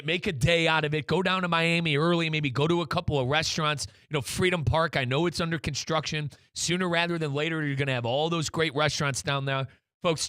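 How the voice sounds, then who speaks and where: A man's voice: fairly high pitch, pushed and bright, dry recording, clean studio sound. A man hosting a sports radio show, talking in a conversational, folksy way and repeating phrases as he reads. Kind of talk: casual live ad read and show chat.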